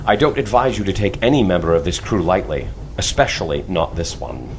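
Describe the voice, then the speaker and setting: An actor playing a suave, mysterious airship commander, formal and dryly teasing, in an audio drama. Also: male voice, low-pitched, sing-song, fairly quick, slight background noise. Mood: wry, teasing.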